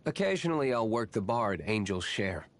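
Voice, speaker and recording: An actor playing a brooding, aloof young nobleman vigilante in a video game, with a terse, guarded, measured manner. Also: lowish voice, big pitch swings. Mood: guarded, reserved. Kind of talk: scripted video game character lines.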